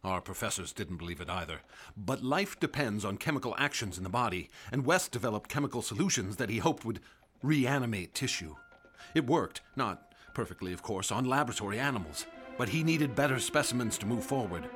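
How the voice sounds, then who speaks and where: An actor playing a haunted, weary 1920s doctor giving testimony, subdued and grave, with a husky voice, in an old-time radio play. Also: male voice, on the low side, lively intonation, a little fast, a bit bright, faint music behind. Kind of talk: 1930s radio acting.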